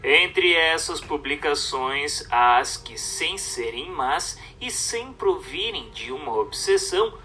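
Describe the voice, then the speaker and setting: A man reading a scripted text aloud as a narrator, in a formal, didactic and expressive manner. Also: on the high side, slow pace, few pauses, recorded from a distance, faint music behind.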